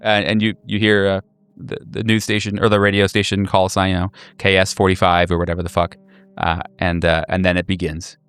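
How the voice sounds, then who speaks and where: A man narrating a horror tabletop game as game master, improvising with deliberate, halting pauses. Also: low-pitched, little pitch movement, fairly bright tone, clean tone.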